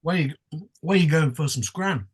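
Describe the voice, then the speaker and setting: A man's voice: a little high, moderate pace, breathy edge. A man with an English accent narrating casually as game master of a tabletop roleplaying game. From England.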